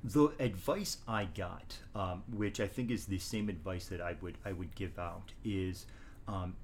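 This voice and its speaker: A man with a somewhat low voice talks about his teaching in an interview. He is measured and reflective, and he often stops to restart a thought. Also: slight background noise. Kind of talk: interview conversation.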